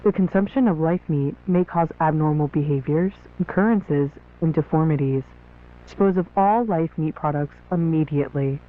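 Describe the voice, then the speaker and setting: A man's voice: on the high side, normal speed. A man playing a formal, impersonal emergency announcer reading an official warning through a radio filter. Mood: grave, formal. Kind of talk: formal scripted public warning. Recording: thin phone-like radio broadcast.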